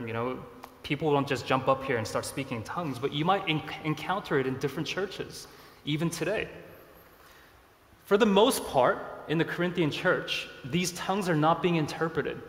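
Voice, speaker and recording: A man in his thirties, originally from Korea, preaching a sermon in a relaxed, conversational way with self-deprecating asides. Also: a little high. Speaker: pastor. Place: church sanctuary. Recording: echoey church hall.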